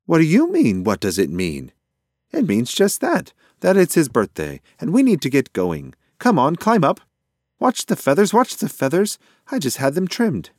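Man voicing an excitable, high-pitched pigeon. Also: moderate pace, no room sound, clean studio sound. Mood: excited, blustering. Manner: blustering, exclamatory. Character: excitable, muddle-headed, fussy male pigeon friend. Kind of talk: children's storybook character voice.

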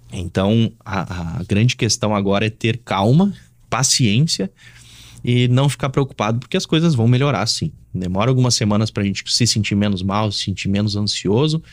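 A man's voice: on the low side, dry recording. A man with a southern Brazilian accent giving casual, reassuring relationship advice as a host.

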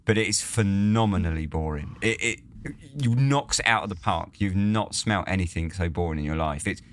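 A young man co-hosting a casual podcast, talking in animated bursts, stumbling and repeating words as he starts sentences. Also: sits low, moderate pace, a little hiss or hum. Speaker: podcast co-host. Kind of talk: casual chat.

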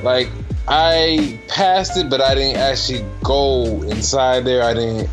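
A man's voice: medium pitch, takes it slowly, music in the background. A man co-hosting a podcast, talking in a laid-back, slangy, rambling way. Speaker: podcast co-host. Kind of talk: casual podcast chat.

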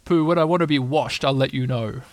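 A man voicing a gloomy, dignified male donkey in a storybook, with slow, cold, deadpan sarcasm. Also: mid-range voice, no room sound, natural voice. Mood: dry, sarcastic.

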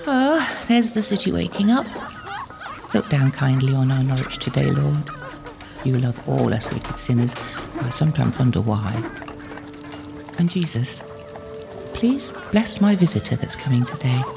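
An actress playing an elderly, devout medieval holy woman in an audio drama, gentle and wistful, musing hesitantly. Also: a bass voice, lively intonation, unhurried, gravelly, long pauses, exaggerated voice. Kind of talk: audio drama acting, intimate prayerful monologue. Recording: long hall-like reverb, faint music behind.

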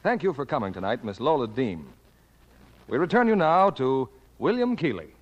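A medium-pitched man reads a vintage radio commercial with animated intonation. His delivery is polished and persuasive, recorded with noticeable reverb. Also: moderate pace, highs cut off. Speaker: commercial announcer. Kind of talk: scripted 1940s radio advertisement.

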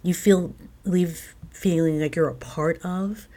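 Woman, low register, interview guest, reflective, conversational delivery. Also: steady tone, takes it slowly, dark tone. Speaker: guest, playwright. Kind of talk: conversational interview about own creative work.

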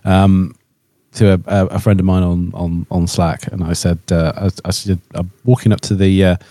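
A man's voice: deep voice, flat delivery, normal speed, warm tone, dry recording. A man chatting casually on a podcast panel in a jokey, rambling way. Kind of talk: casual chat.

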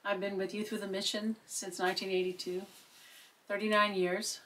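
A woman's voice: mid-range voice, level tone, normal speed, a bit bright, slight background noise. Older woman, earnest, emphatic, missionary talk, slightly distant mic. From Seattle, United States. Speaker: missionary speaker. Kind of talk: prepared talk.

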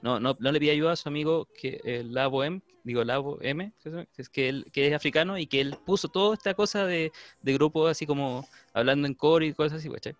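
A man with a Chilean Spanish accent, debating casually and often searching haltingly for his words. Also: on the high side, highs cut off. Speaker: discussion panelist.

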